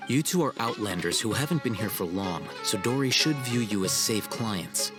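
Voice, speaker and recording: A man voicing a cool, haughty young scholar in a video game, formal and measured. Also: fairly low pitch, expressive, lightly acted. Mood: aloof, businesslike. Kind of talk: video game cutscene acting. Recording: music behind the voice.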